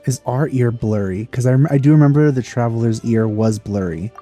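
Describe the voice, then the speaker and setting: A man chatting casually over his video game playthrough in a very dark, mellow voice. He muses aloud in a relaxed way and laughs easily at what he sees. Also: mid-range voice, faint music behind. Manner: relaxed, musing, quick to laugh. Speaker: gaming video host. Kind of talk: casual let's-play commentary.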